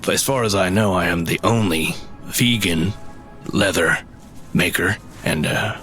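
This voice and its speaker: Very low-voiced, hesitant fantasy forager. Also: male voice, sharp tone, soft background music. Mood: earnest explaining. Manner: hesitant, halting, not much for talking. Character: shy, halting male mushroom forager and craftsman in a fantasy world. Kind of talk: immersive fantasy audio drama acting.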